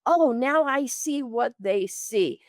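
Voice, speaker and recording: A woman's voice: on the high side, animated, takes it slowly, no echo, clean studio sound. A woman from the Bronx talking conversationally as an interview guest and coach, acting out imagined quotes as she explains. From the Bronx, New York, United States.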